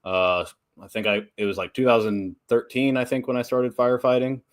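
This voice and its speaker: A man's voice: mid-range voice, level tone, fairly quick. A man in his thirties, a guest telling his story in a casual interview, with lots of "uh" and restarts. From Texas and Oklahoma. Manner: many fillers and restarts, laughs easily. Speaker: guest, nonprofit founder and strength coach.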